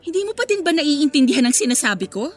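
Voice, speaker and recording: A woman's voice: high-pitched, very animated, fast. A woman acting in a radio drama as a hurt, sarcastic young girlfriend confronting her cheating boyfriend. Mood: angry, incredulous. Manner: sarcastic, cutting. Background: faint background music.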